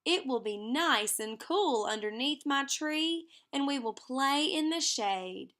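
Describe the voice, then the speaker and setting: An eager, dreamy little storybook girl, played by a woman reader, bubbling over with big ideas. The voice is high and bright, and she takes her words slowly. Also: heavily acted. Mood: exuberant. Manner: excitable, gushing. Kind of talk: children's storybook character reading.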